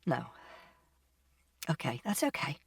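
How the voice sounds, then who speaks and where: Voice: mid-range voice, big pitch swings, a little slow, a bit bright, dry recording, distant mic, pitched above the performer's own voice. A narrator acting a distraught, emotional mother, close and dry. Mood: gentle. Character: distraught, protective mother, tearful then furious. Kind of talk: audiobook character acting.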